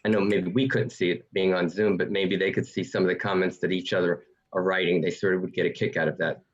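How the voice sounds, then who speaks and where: A man's voice: low voice, level tone, fast talker. A man, an actor, chatting casually on a video call, thinking aloud in a hesitant way. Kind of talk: casual group chat. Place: at home on a group video call. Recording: dull lo-fi video call audio.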